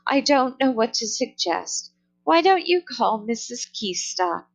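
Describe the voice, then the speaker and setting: A kindly storybook neighbour, read out by a woman, gentle and sympathetic. She speaks slowly with an expressive, moving pitch. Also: exaggerated voice.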